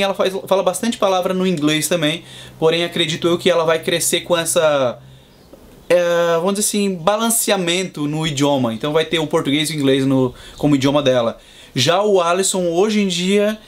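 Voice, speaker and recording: A Brazilian man in his thirties, a father, answering interview questions in a measured, explanatory way. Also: sits high. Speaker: guest, Brazilian father in Boston.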